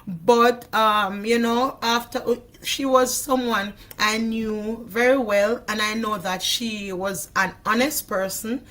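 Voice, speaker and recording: Interview guest: Jamaican woman, hoarse, chatty, keeps checking in with the listener, on a video call. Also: a little high, steady tone, slow pace, few pauses, a bit bright. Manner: chatty, repeats "you know what I mean". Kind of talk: casual interview chat.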